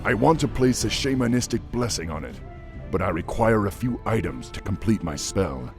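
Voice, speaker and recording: An actor playing a noble, grave orc war chief in a video game, formal and measured in speech. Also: male voice, fairly low pitch, lively intonation, over music. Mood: grateful, grave. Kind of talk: video game cutscene acting.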